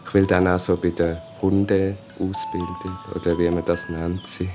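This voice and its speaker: A man's voice: sits low, level tone, a little slow, music in the background. A fifteen-year-old boy with a Swiss German accent, introducing himself casually. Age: 15, teenager.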